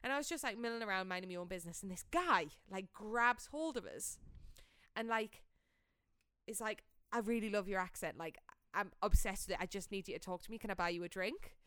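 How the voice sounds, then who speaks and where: A young woman telling a story in casual podcast chat, animated, blunt and salty-tongued. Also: medium pitch, a little fast, clear, resonant tone, no room sound, studio quality. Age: young adult. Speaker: podcast co-host.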